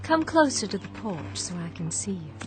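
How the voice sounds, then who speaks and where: A woman's voice: medium pitch, very animated, normal speed, close to an everyday voice. A woman playing a gracious grieving Southern lady. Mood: gracious, mournful. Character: grieving young Southern woman on a ruined estate porch. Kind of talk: 1960s-style radio drama acting.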